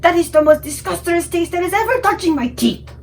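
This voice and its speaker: A woman's voice: lively intonation, fairly quick. Woman voicing a blustering, greedy man-eating giant. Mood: furious. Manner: booming, blustering, shouting. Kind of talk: children's storybook read-aloud.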